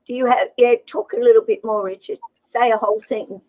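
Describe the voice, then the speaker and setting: A woman's voice: fairly high pitch, fairly even intonation, a little fast, dry recording. A chatty woman with an Australian accent, talking casually on a livestream video call and laughing easily. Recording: dull, lo-fi and dry.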